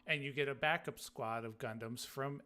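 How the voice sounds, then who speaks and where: A man's voice: medium pitch, normal speed, pushed and bright. A man co-hosting a podcast, talking casually and conversationally. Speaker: podcast co-host.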